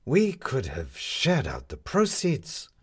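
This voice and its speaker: A man voicing a proud, pompous male schemer in a story, deliberate and emphatic. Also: medium pitch, huge pitch swings, breathy edge, voice pushed up, exaggerated voice. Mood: defiant.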